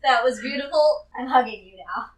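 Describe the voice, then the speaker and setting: A woman's voice: high voice, normal speed. A woman chatting casually while playing a tabletop roleplaying game. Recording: roomy distant mic with noise.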